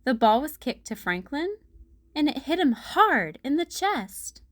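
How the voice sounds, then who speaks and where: A woman narrating a children's storybook as a read-aloud host, in a deliberate storytelling manner. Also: sits high, sing-song, a bit bright, no echo.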